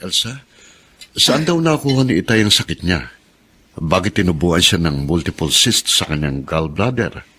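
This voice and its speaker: Maalalahaning asawa, napakababa at paos. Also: male voice, low-pitched, expressive, moderate pace, bright, forceful tone, husky, full character voice. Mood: concerned, curious. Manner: concerned, inquisitive, homely. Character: caring, supportive husband. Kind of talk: Filipino radio drama acting.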